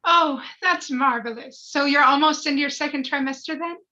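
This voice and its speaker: Woman acting an earnest gushing wife, high pitch, very bright tone, polite, table-read drama, slightly muffled mic. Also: moderate pace. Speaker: actor in a live table read.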